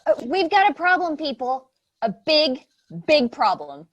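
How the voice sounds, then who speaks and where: Woman playing a high, panicky committee member. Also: very animated, a little slow, no echo. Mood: panicked. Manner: alarmist, repetitive, dramatic. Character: panicky, overanxious woman on theater board. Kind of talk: farcical comedy acting.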